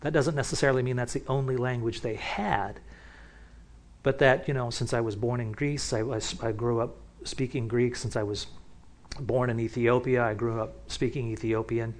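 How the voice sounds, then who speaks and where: A man teaching from the Bible in a relaxed, conversational way, often restarting sentences and picking up energy as he warms to a point. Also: mid-range voice, moderate pace. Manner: casual, with many restarts and asides. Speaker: pastor.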